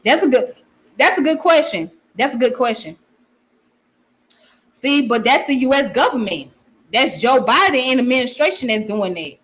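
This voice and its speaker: A woman's voice: high-pitched, lively intonation, normal speed, long pauses, a bit bright, low-quality recording. A woman with a strong Southern accent, hosting a casual livestream where she reads comments and often repeats her phrases. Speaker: channel host.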